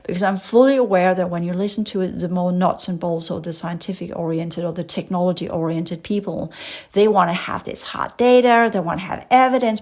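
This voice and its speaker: A woman from Denmark with a Danish accent, a podcast host who reads an article aloud and breaks off into impassioned commentary, sometimes stumbling over long words. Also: mid-range voice. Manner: passionate, digresses into rants.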